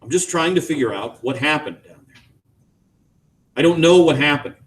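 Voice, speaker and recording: A man's voice: a little high, animated, normal speed, recorded from a distance, pitched above the performer's own voice, close to an everyday voice. A man doing a weary, pleading father. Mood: gentle. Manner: tired, placating, gentle.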